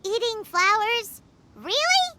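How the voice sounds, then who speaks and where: A woman voicing a tiny childlike fairy companion in a video game, excitable, blunt and cheeky. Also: very high-pitched, slow pace, background noise, exaggerated voice. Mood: dismissive, puzzled. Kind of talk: video game character acting.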